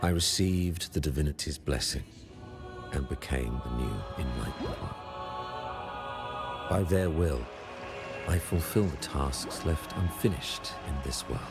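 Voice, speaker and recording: An actor playing a devout, commanding religious leader in a video game, speaking in grave, measured, pious oratory. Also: male voice, a bass voice. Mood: solemn. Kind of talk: dramatic video game voice acting. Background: prominent background music.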